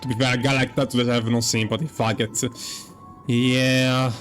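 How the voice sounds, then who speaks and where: A man's voice: mid-range voice, little pitch movement, normal speed, a bit bright, soft background music. A man giving casual playthrough commentary, rambling and hesitant, swearing as he thinks aloud. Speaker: gaming streamer.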